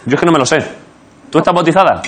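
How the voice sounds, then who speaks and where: A man from Jaén with an Andalusian accent, hosting a late-night talk show and teasing his guest with quick jokes. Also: a little high. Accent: Andalusian Spanish. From Jaén, Spain. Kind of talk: joking late-night interview. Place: TV studio with live audience. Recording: loud studio audience and noise behind.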